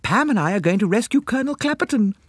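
A man voicing excitable, giggly girls of eighteen in a story, with a gasping, gushing manner. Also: fast, husky, no echo, studio quality. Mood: excited. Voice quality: high, gasping. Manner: gushing, conspiratorial.

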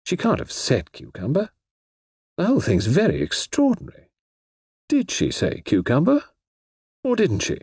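A man's voice: on the high side, wildly swooping pitch, moderate pace, long pauses, a little mellow, slightly rough, no room sound, clean studio sound, pitched above the performer's own voice, full character voice. A man reading a story, voicing a muddled, exasperated male writer who mutters and argues with himself. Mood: bewildered musing. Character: fussy English male author, exasperated and muddled.

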